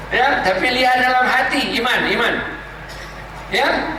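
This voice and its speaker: High-voiced Malaysian preacher in echoey hall. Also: male voice, slow pace, pushed and bright. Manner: storytelling, emphatic repetition. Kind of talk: religious sermon. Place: large gathering hall. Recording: echoey hall, distant mic, noisy.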